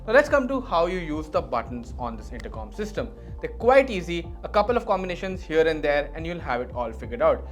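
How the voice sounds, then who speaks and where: A man giving an explanatory product review in a measured way, leaning on key words for emphasis. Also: fairly high pitch, sing-song, soft background music.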